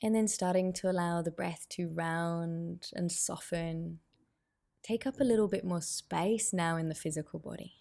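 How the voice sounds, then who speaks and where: A woman guiding a meditation as a teacher, giving soothing, unhurried instructions with pauses. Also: fairly low pitch, steady tone, gravelly, warm tone. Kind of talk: guided meditation.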